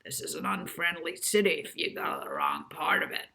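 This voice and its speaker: A woman voicing a boastful, world-wary male New York cab driver with a deep, husky voice in a storybook reading. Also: wildly swooping pitch, a little fast, pushed and bright, exaggerated voice. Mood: gruff, cautioning. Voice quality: husky, deeper.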